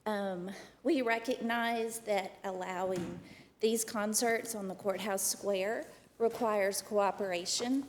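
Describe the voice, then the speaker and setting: A soft-spoken woman, a program director, giving a formal prepared presentation to a county committee. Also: mid-range voice, steady tone, takes it slowly, long reverb tail, a little hiss or hum. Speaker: executive director of a downtown program. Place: county committee meeting room.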